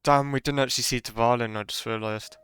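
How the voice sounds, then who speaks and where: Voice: mid-range voice, steady tone, fairly quick, a bit bright, clear, resonant tone, no echo, faint music behind. A streamer chatting casually while reacting to a video game story.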